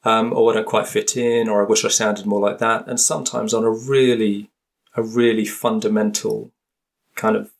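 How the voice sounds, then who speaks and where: A British man with a fairly low voice talks as an expert guest in a conversational interview. He is thoughtful and tentative in delivery, often circling back to reword a phrase before he completes it. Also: little pitch movement, moderate pace, vocal fry. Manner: hesitant, thoughtful, frequently rephrasing. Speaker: interview guest, linguist and author.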